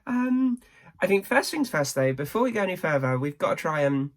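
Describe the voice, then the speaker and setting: A young woman with a slightly croaky voice, chatting casually and rambling to camera. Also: fairly low pitch, sing-song, a little fast. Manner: chatty, rambling, self-deprecating. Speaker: vlogger.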